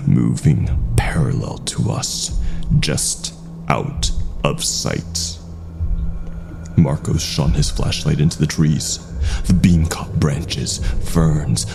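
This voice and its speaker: Very deep, slow, gravelly male horror narrator. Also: dark tone, slight background noise. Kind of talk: scripted first-person horror story narration.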